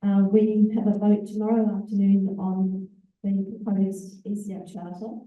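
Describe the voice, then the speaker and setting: A woman, an executive officer, giving a relaxed conference presentation with fillers and self-corrections. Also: mid-range voice, a little slow, narrow, tinny audio. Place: large conference hall. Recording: echoey hall, voice far from the mic.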